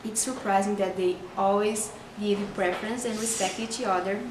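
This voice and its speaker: A woman's voice: medium pitch, steady tone, normal speed. Brazilian woman, echoey and far off. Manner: recites short prepared statements. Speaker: international student. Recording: echoey room from far off, noisy.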